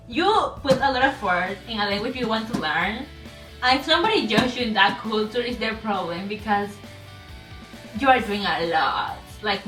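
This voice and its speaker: A woman vlogging in English with a strong non-native accent, rambling with constant fillers and restarts. Her voice is bright and forward. It sounds a little distant from the mic in an echoey room, with faint music underneath. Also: medium pitch. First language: not English. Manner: rambling, many fillers and restarts, laughs often. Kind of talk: casual talk to camera.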